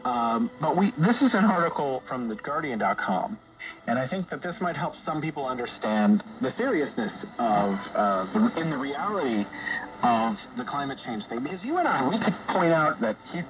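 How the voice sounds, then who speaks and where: A man hosting a conversational radio discussion, explaining things in a measured, hesitant way. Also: medium pitch, very animated. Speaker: radio show host. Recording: dull, noisy shortwave radio broadcast.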